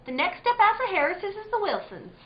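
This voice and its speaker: A woman's voice: extremely high pitch, very animated, a little fast, slight background noise. An actress playing a fey, eerie country girl in a spooky radio drama, speaking in dreamy, ominous tones. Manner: dreamy, ominous rustic storytelling. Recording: dull lo-fi audio in echoey room.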